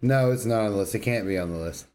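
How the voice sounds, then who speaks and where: A man's voice: on the low side, quick pace, darkish tone. A man co-hosting a podcast, chatting casually about video games. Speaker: podcast co-host.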